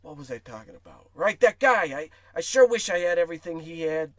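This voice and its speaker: High-voiced, hapless small-town shopkeeper played by a man. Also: lively intonation, brisk, no echo, lightly acted. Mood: envious. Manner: folksy, eager, whiny. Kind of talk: comic radio play acting.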